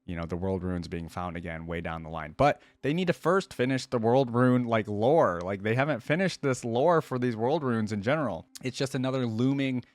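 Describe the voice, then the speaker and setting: A man's voice: medium pitch, animated, moderate pace, few pauses, no room sound. A man giving casual commentary to viewers as a reaction host, thinking aloud and wandering off on digressions. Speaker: video creator, reaction host.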